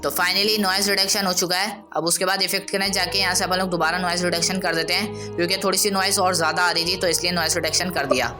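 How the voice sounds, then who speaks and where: A man presents an audio editing tutorial in a casual, instructional way. His informal commentary runs over clearly audible music. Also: high-pitched, fairly even intonation, fast talker, hardly any pauses.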